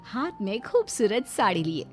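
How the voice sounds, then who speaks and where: A woman's voice: mid-range voice, very animated, normal speed, faint music behind. A woman reading scripted narration for a cartoon moral story.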